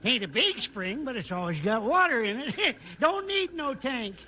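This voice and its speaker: A man's voice: very high voice, big pitch swings, normal speed, sharp tone, exaggerated voice. A male radio actor playing a cheerful, rambling old hard-of-hearing prospector with folksy frontier talk. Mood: crotchety, folksy. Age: older. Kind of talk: 1950s western radio acting. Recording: noisy vintage 1950s radio recording.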